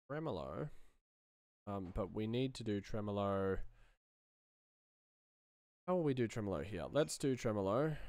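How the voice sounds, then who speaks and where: A man's voice: lowish voice, darkish tone, smooth, no echo. A man in his thirties muttering in fragments as he casually narrates his work at a computer. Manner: muttering, thinking aloud in fragments. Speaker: sound designer, streamer. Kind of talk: casual screen-share commentary while working. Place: small indoor room at a computer.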